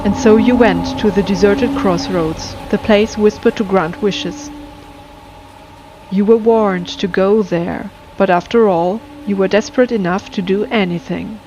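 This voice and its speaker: A woman reading a scripted spooky story as narrator, in a measured, atmospheric storytelling manner. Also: medium pitch, fairly even intonation, noisy background. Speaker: storyteller and channel host. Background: music.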